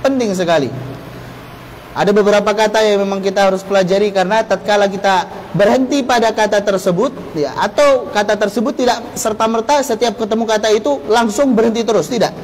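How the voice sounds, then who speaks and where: A man's voice: high-pitched, a little fast, a little hiss or hum, poor audio. An Indonesian man giving an instructional religious lecture as a teacher, explaining step by step with rhetorical questions. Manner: explanatory, poses rhetorical questions and answers them. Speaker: Islamic teacher giving a Quran recitation course. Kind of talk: instructional religious lecture with Arabic terms and quotations.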